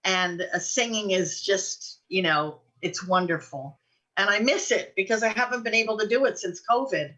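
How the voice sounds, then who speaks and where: A woman's voice: medium pitch, normal speed, sharp tone, low-quality recording. An older woman co-hosting a show, talking in a chatty, effusive way in casual conversation. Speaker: podcast co-host and producer. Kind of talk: casual chat.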